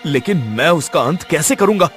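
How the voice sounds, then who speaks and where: A man playing a brave but puzzled young horseman who asks earnest questions in an animated story. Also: a little high, normal speed, soft background music. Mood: alarmed questioning. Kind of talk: animated story character acting.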